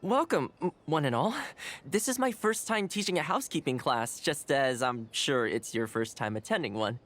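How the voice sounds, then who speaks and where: An actor playing a polite, earnest young male housekeeper who teaches a class in a video game, speaking clearly and courteously. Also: mid-range voice, animated, normal speed, fairly bright tone, close to an everyday voice. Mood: earnest, welcoming. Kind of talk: video game voice acting, instructional speech. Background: background music.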